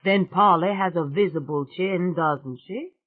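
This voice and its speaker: A woman's voice: fairly low pitch, unhurried. A woman doing a genteel older society lady. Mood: gracious. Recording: tinny phone-like sound.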